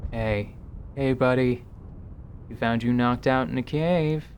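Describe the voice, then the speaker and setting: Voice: mid-range voice, slow pace, darkish tone, slight background noise. A man voicing a friendly, casual adventurer with an easygoing, colloquial manner in a fantasy drama. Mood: friendly, coaxing.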